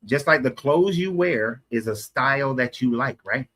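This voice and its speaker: A man's voice: mid-range voice, animated, normal speed, fairly bright tone. A man from Atlanta debating on the street, explaining at length and correcting himself as he goes. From Atlanta, USA. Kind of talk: casual street conversation.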